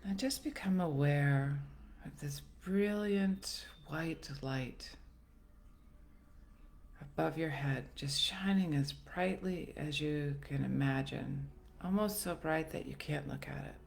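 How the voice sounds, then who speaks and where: A woman leading a guided meditation in a soothing, gentle, unhurried manner with long pauses. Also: sits low, creaky. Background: soft background music.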